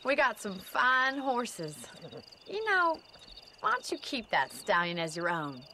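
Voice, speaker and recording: A feisty young American ranch woman of the Old West, speaks in a high, very lively voice. She is forthright and practical, in game cutscene style, with clear outdoor noise around her. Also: takes it slowly, exaggerated voice. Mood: friendly, grateful. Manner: forthright, outspoken. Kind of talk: video game cutscene acting.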